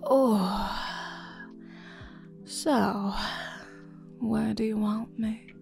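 A woman voicing a flirty, teasing adult server in an intimate audio roleplay, talking in a breathy whisper full of endearments. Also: mid-range voice, steady tone, drawn-out words, a little mellow, soft background music.

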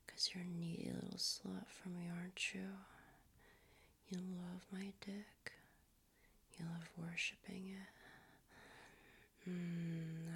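A young man whispering softly into a close mic, his voice raspy and a little hoarse from a recent cold. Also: sits high. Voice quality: raspy, strained.

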